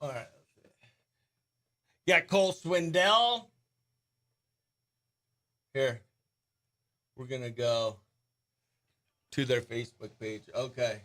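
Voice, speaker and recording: A man hosting a radio show, chatting in an informal, excitable, slangy way. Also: mid-range voice, slow pace, long pauses. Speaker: radio host. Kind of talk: casual behind-the-scenes chat and upbeat radio interview.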